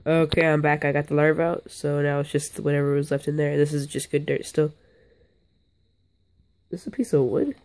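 Hoarse young man, gravelly and dark. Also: on the high side, flat delivery, moderate pace, pauses often. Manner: rambling, self-interrupting, offhand. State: hoarse, a little sick. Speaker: bug-keeping vlogger. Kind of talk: casual hands-on vlog. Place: indoors, on a carpeted floor.

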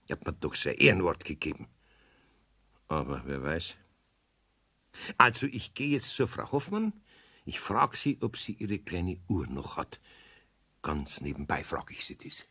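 A man playing an older, good-natured but fretful craftsman in a children's radio play, with a Bavarian accent and a husky, hesitant delivery. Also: sits low, huge pitch swings, unhurried, long pauses, bright, forceful tone, heavily acted. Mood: worried muttering. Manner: hesitant, muttering, repeats himself.

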